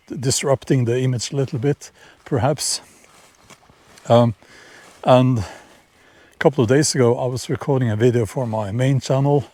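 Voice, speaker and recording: A middle-aged man with a Norwegian accent, talking casually to camera outdoors in a vlog, halting and repeating words as he shares opinions. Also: mid-range voice, dark tone. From Norway. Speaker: vlogger. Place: outdoors in nature, near horses.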